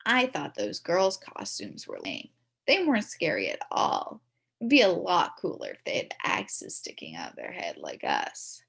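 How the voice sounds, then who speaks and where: A woman reading a children's storybook aloud in an expressive, playful way. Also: low voice, measured pace, vocal fry, husky. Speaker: story reader.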